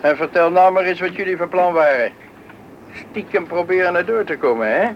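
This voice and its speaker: An actor playing a stern official lecturing stray children in a Dutch radio play. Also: male voice, high-pitched, a little fast. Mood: stern, threatening. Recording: dull, noisy old radio recording.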